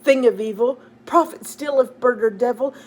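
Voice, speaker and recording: A woman's voice: fairly high pitch, normal speed, natural voice. A woman voicing a haunted, grieving man from a Gothic poem, with an impassioned, declamatory delivery. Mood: sorrowful pleading.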